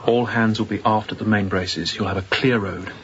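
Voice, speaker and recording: A man's voice: fairly low pitch, fairly even intonation, moderate pace, close to an everyday voice. Man acting commanding young sea captain, lo-fi drama. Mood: urgent, hushed. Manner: terse, authoritative, gives clipped orders. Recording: dull lo-fi radio drama, faint noise.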